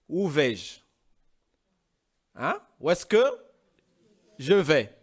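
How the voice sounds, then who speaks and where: A man preaching a sermon, from Congo, emphatic and rising into louder, higher passages.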